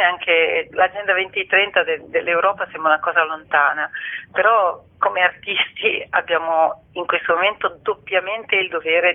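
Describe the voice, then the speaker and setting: Brief: female theatre-artist guest, earnest and poetic in phrasing, heard down a narrow telephone line. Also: lowish voice. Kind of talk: radio phone interview.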